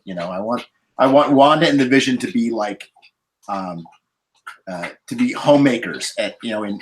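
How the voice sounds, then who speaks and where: A man's voice: lowish voice, slight background noise, rough recording. A man chatting casually as a panelist, musing in a hesitant, stop-and-start way. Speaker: podcast panelist. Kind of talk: casual roundtable film discussion.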